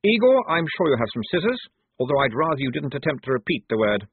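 A man voicing a pompous, fussy young army lieutenant with a prim, self-important, high-strung manner. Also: very animated, quick pace, slightly rough, no room sound. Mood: fussy, commanding. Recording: tinny phone-like dry sound.

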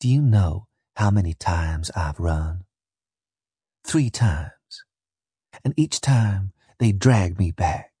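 Guy doing a young enslaved woman, soft and husky, bitter, fatalistic, audiobook character, very dry sound. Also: deep voice, lively intonation, takes it slowly, no room sound, voice pushed down, full character voice. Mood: scornful, bitter. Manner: bitter, sneering, resigned.

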